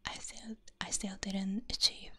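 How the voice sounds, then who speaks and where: A woman with a Hungarian accent, whispering softly and gently rambling through a binaural ASMR stream chat. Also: mid-range voice, little pitch movement, measured pace. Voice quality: soft breathy whisper. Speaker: VTuber streamer. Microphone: binaural mic.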